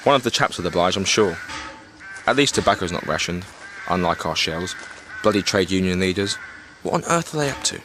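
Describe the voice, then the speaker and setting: An actor playing a blustering, indignant British army captain of the First World War in a period audio drama, with a clipped English accent. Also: male voice, deep voice, animated, brisk, background noise. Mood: brisk.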